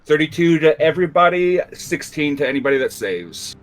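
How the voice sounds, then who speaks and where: A man's voice: on the high side, animated, brisk, soft background music. A man talking casually and matter-of-factly as a player in a tabletop game.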